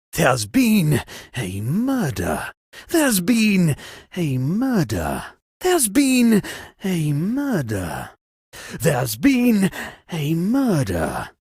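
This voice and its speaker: A lordly host of a murder-mystery video game, theatrical and sardonic. The pitch swoops dramatically from line to line, and the delivery is drawn out, with a slightly husky edge. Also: female voice, a little mellow, no echo, studio quality, full character voice. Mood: grave, dramatic.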